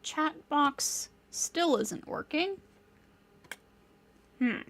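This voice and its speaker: A woman streaming a game speaks in a high voice at a slow, easy pace. She greets viewers by name and talks to her broken software in mock pleading. Her talk is casual and unhurried. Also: lively intonation. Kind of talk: casual live-stream chat.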